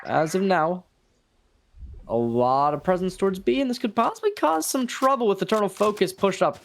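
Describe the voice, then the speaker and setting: High-voiced male esports analyst, faint background noise. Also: lively intonation, normal speed, vocal fry. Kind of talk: analytical video game match commentary.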